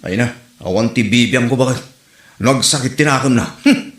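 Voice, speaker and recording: A man's voice: mid-range voice, moderate pace, a bit bright, close to an everyday voice. A man with an Ilocano Filipino accent playing a nagging, scheming village husband in a comedy skit. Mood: dismissive, teasing. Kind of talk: Ilocano comedy drama acting. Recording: roomy echo, music behind.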